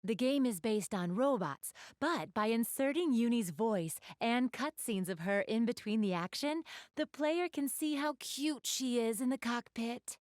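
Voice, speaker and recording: A woman's voice: on the high side, unhurried, a little mellow, no room sound, clean studio sound, natural voice. A woman playing an elegant young goddess in an anime video game, speaking to the player with polite, genteel, formal diction and a keen gamer's refinement. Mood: enthusiastic, polite.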